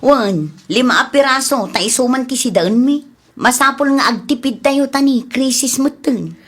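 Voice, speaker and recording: A woman's voice: high voice, moderate pace, fairly bright tone. A woman playing a stingy, complaining daughter-in-law in an Ilocano comedy drama, with exaggerated exclamations and an Ilocano accent.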